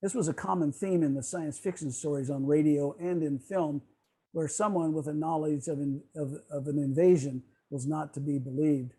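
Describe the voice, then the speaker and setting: A man with a husky, mellow voice, pitched a little high, presents over a video call. He explains in a measured, lecture-like way, stumbling now and then over his prepared text. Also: steady tone, poor audio. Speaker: club presenter on old-time radio. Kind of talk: semi-scripted lecture presentation.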